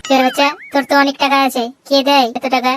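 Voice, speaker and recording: A performer voicing a hot-tempered, suspicious cartoon husband in a high, childlike voice, brash and scolding. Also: monotone, fast, natural voice. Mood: angry, scolding. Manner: brash, scolding, insult-laden. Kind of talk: exaggerated comic cartoon dialogue. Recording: noisy track with clicks.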